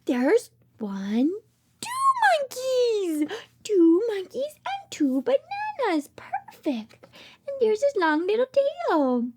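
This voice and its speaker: A woman's voice: very high-pitched, wildly swooping pitch, slow pace, mellow, a little hiss or hum. A woman reading aloud to babies and toddlers in an exaggerated child-directed way, with simple repeated phrases and questions. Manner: exaggerated child-directed delivery with dramatic gasps and whispers. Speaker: children's read-aloud host.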